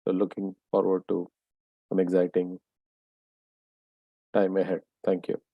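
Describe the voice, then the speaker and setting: A man, a venture capital partner, introducing himself as a judge on a video call in a steady, measured way with frequent pauses. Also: sits low, gravelly, no room sound, lo-fi sound. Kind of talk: self-introduction at an online pitch event.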